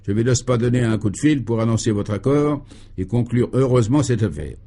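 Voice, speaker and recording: A man's voice: mid-range voice, brisk, dark tone, close to an everyday voice. A man voicing a talkative, worldly male friend and guide in a comic radio serial, emphatic and mock-formal. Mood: exuberant.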